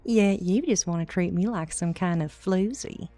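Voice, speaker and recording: Mellow-voiced woman acting a flirty, teasing lover. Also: on the low side, moderate pace, no echo, lightly acted. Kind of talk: audio drama dialogue.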